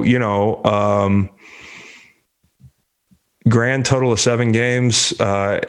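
A man in his thirties hosting a casual sports show, joking, rambling and swearing freely, a bit congested. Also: on the low side.

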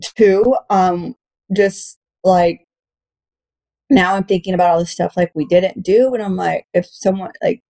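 A woman's voice: on the low side, a little slow, creaky, dark tone, rough recording. A woman in her late thirties chatting casually and candidly, with a frank, profane, self-joking way of talking. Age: late 30s. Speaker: comedian and podcast talker.

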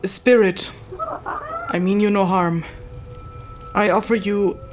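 Woman acting a curt, medium-pitched magic mender. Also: fairly even intonation, slow pace, soft background music, close to an everyday voice. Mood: cautious, formal. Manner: terse, hesitant, businesslike. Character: focused, curt female spirit-negotiating mender of magic. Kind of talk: naturalistic fantasy audio drama acting. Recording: clear noisy outdoor night ambience.

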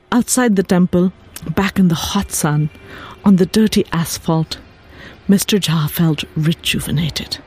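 A woman's voice: fairly low pitch, warm tone, breathy edge. A woman reading a literary short story aloud as its author, in a scripted narration style with composed music behind her. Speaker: author reading own fiction. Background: composed background music.

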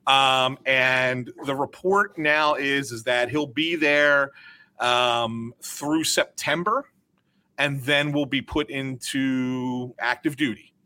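A man, a hockey reporter, explaining news in casual sports talk, measured and cautious with frequent fillers. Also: medium pitch, fairly even intonation, sharp tone.

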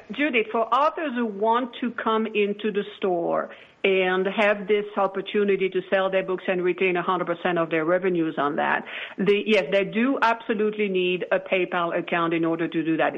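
A woman's voice: on the low side, normal speed. A woman interview guest explaining things in an assured, conversational way on a dull recording. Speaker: guest, company chief executive. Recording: dull, radio-like lo-fi audio.

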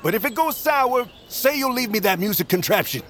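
Grumpy old male trader, higher-set and very animated, sarcastic, haggling, audio fiction. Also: a little fast. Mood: sly, amused. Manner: sarcastic, gruff. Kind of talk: audio drama acting.

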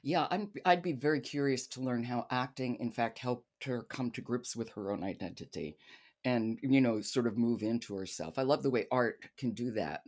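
A woman's voice: a bass voice, moderate pace, darkish tone. Female podcast host, conversational interviewer discussing books with a colleague.

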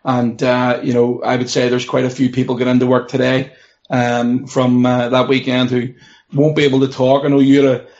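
A man hosting a sports podcast, his voice hoarse and croaky, asking questions with a few fillers. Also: medium pitch, completely flat delivery, normal speed, a little mellow. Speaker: podcast host. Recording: dull lo-fi sound.

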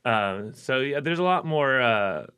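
A man's voice: medium pitch, animated, unhurried, sharp tone, clean tone. A man, a musician guest in a radio studio, talking in a rambling casual way with lots of fillers and restarts. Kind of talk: casual interview chat.

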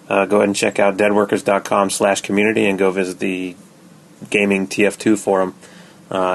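A man's voice: on the low side, slight background noise. A man giving an informal, slightly hesitant weekly update as a server admin on a podcast. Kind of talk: casual podcast segment report.

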